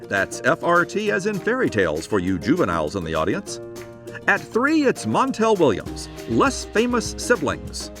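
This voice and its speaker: A man announcing a scripted parody TV lineup in a showy announcer style. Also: on the high side. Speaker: guest TV listings announcer. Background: music under the voice.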